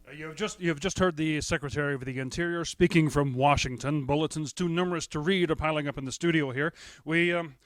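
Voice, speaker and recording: A radio announcer reads urgent bulletins in a radio play, as a man acts him out. He hesitates and stumbles over his words, his voice a little high for a man and recorded close and dry. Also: fast talker, no room sound, lightly acted. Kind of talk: amateur radio drama.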